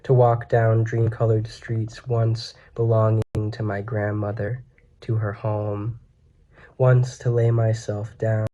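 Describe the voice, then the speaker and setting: A young man in his twenties solemnly reads his poetry in a measured way, in a small room on a webcam mic. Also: lowish voice, level tone, warm tone. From United States. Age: 20s. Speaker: poet. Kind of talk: poetry reading. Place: small plain room indoors.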